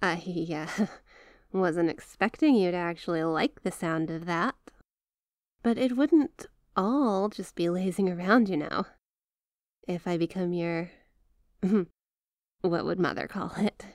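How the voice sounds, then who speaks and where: A woman acts out a young crime-family heiress who is shyly smitten and apologetic. She speaks at an unhurried pace, conversational and hesitant, with soft teasing. The sound is dry and intimate, in the manner of an ASMR roleplay. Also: on the low side, no echo. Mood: teasing, flustered.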